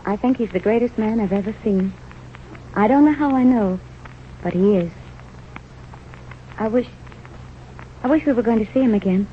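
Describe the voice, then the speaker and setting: An actress playing a plucky, devoted young wife with frank, stubborn talk in a vintage radio drama. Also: female voice, a little high, moderate pace, long pauses, close to an everyday voice. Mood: tender, admiring. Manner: frank, firm, plain small-town talk. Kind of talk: 1930s radio drama acting. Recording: tinny, noisy old radio recording.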